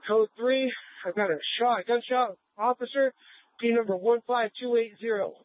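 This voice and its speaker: A man's voice: very high-pitched, normal speed, no room sound. A male police officer giving emergency dispatches over a police radio scanner. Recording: tinny, narrow-band police scanner radio.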